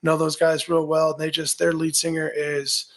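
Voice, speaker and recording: A raspy, gravelly male voice pitched a little high. He is a musician guest in a casual interview. He speaks with upbeat, coach-like drive. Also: moderate pace. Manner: energetic, pep-talk delivery with repeated "right?".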